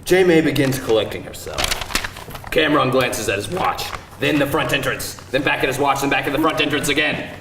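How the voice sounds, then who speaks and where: A man's voice: medium pitch, fairly quick. A man reading screenplay stage directions aloud as narrator at a live table read. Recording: roomy live event, distant mic, faint noise.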